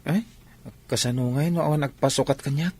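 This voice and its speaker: A man's voice: mid-range voice, brisk, darkish tone, breathy edge. A man playing an earnest, modest young village athlete in an Ilocano radio drama. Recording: noisy background ambience.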